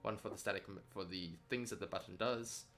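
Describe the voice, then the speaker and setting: A man learning software in a casual walkthrough, tentatively thinking aloud and checking his understanding. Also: fairly low pitch, a little fast, soft background music.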